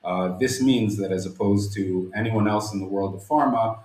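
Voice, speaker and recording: A man's voice: on the low side, normal speed, darkish tone. A man, a company director, explaining business plans in an interview with formal, elaborate wording in a roomy recording. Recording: roomy, echoing sound.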